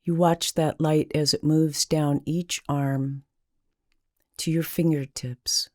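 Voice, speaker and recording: A woman with a dark, mellow voice narrates a guided meditation. She speaks very slowly and deliberately, leaving long, calming pauses. The recording is dry, with no room sound around her. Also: deep voice, no echo.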